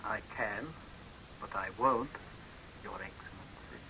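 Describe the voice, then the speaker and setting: Vintage radio saboteur: young, calmly vengeful, very sharp tone, deliberate taunts, dull hissy recording. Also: male voice, lowish voice, heavily acted. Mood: calm, defiant. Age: young adult. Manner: deliberate, measured, sardonic, menacing. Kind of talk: 1930s radio horror drama acting.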